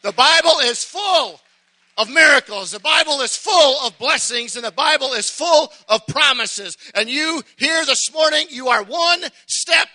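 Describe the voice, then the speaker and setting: A middle-aged man preaching a sermon, folksy and joking at first, then building to shouted fervor. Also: extremely high pitch, lively intonation, measured pace.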